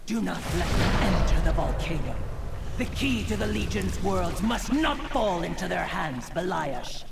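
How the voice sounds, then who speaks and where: A woman's voice: fairly low pitch, animated, unhurried, music in the background, exaggerated voice. A woman playing an imperious demon queen in a video game, stern, theatrical and commanding. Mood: stern, displeased. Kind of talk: video game cut-scene acting. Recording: game audio with clear background noise.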